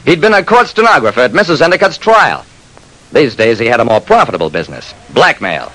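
An old radio broadcast recording of a private detective. He narrates in terse, wry, matter-of-fact lines, his pitch moving up and down freely in the manner of mid-century radio crime drama. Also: very animated, brisk, fairly bright tone, rough recording. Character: brisk male private detective narrating his own case. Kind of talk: 1940s-50s radio crime drama, first-person narration.

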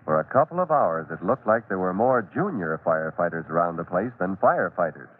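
A man's voice: low-pitched, very animated, fairly quick. A man telling a scripted story as the narrator of an old-time radio drama. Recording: tinny old radio recording.

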